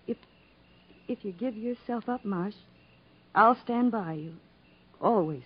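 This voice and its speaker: An earnest young sweetheart in a vintage radio melodrama. She has a husky, medium-pitched voice and speaks with tender devotion. The recording is dull and muffled. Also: slow pace. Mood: earnest, pleading. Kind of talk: 1950s radio drama acting.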